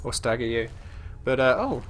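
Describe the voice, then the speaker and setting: A man's voice: medium pitch, very animated, moderate pace, a little hiss or hum. A congested man chatting casually as he plays a fantasy game, rambling and often restarting his sentences while describing his character's actions. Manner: talks about his character in third person. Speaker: let's play host. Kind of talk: casual gameplay commentary.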